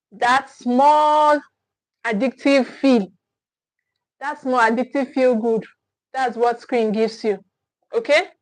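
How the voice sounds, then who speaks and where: A woman's voice: fairly high pitch, no room sound, low-quality recording. A young Nigerian woman from Edo State, hosting a live parenting class in an earnest, emphatic way, often repeating herself and asking "okay?". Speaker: parenting teacher and host.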